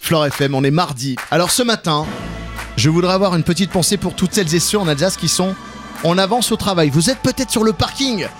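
A man's voice: sits high, expressive, fairly quick, music in the background. Playful male morning radio host. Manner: playful, joking, teasing co-hosts. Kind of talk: lively morning radio banter.

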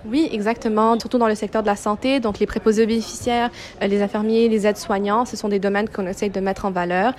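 A woman's voice: mid-range voice, steady tone, fast, few pauses, a little mellow. Young woman explaining in an interview. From Quebec, Canada. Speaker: youth organization representative being interviewed.

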